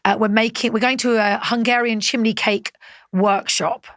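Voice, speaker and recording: A middle-aged woman co-hosting a casual podcast, telling stories quickly and acting out the voices of the people she quotes. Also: fairly high pitch, steady tone, a bit bright, dry recording, clean studio sound. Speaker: podcast co-host. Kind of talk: casual chat.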